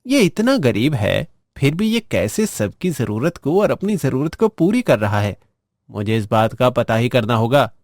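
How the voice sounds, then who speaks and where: Man playing boastful rich boy, haughty, taunting, medium male pitch, cartoon story dialogue, dry sound. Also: sing-song, measured pace, a little mellow, dry recording. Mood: suspicious. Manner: showing off and taunting.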